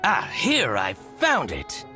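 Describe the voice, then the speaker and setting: An actor playing a scheming, excitable merchant in a video game, theatrical and grumbling, full of exaggerated exclamations. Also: male voice, medium pitch, slow pace, soft background music, heavily acted. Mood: gloating, spiteful. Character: scheming, excitable merchant man, spiteful toward his rival. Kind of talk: animated video game voice acting.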